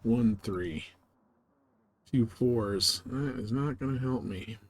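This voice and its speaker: A man's voice: medium pitch, slow. A man livestreaming a game in casual, informal chat, often thinking aloud to himself as he plays.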